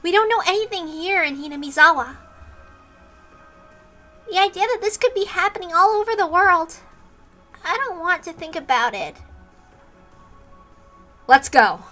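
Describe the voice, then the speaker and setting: A woman's voice: extremely high pitch, lively intonation, normal speed, pauses often, bright, forceful tone, soft background music, pitched above the performer's own voice, full character voice. A woman voicing anxious young characters from an anime visual novel in urgent, earnest dialogue. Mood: tense, determined.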